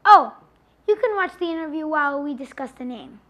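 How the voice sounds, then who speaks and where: A teenage student acting as a news anchor in scripted comic banter, with an exasperated, bossy delivery. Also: female voice, high-pitched, lively intonation, brisk, fairly bright tone.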